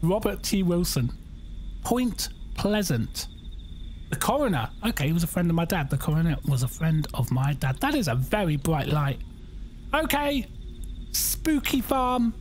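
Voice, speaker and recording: Croaky gamer chatting. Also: male voice, on the high side, very animated, measured pace, slight background noise. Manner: chatty, jokey, reacting aloud. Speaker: gaming YouTuber. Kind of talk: casual let's-play commentary.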